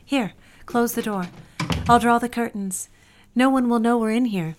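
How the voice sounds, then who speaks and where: An actress playing a composed, worldly married office friend in a period drama, poised and big-sisterly. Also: female voice, on the high side, moderate pace, slight background noise, natural voice. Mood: brisk, reassuring. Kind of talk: period radio drama acting.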